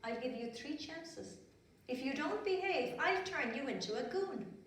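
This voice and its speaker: A woman voicing a stern good fairy in a children's song, warning in a slow sing-song. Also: high-pitched, expressive, slight background noise, pitched above the performer's own voice. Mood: scolding. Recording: echoey room, distant mic.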